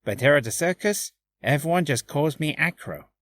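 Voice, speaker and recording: A man's voice: fairly high pitch, expressive, fairly bright tone, no echo. Man acting a composed, courteous circus acrobat, dry sound. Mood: calm. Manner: courteous, measured. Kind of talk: character voice reel, game acting.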